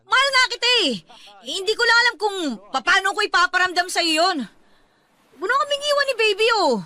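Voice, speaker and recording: A woman's voice: extremely high pitch, lively intonation, fairly quick, pushed and bright, exaggerated voice. A woman playing a tough-talking tomboy young mother, blunt and emotional, reluctantly in love, in a Filipino radio-style romance drama. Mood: tearful confession. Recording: music under the dialogue.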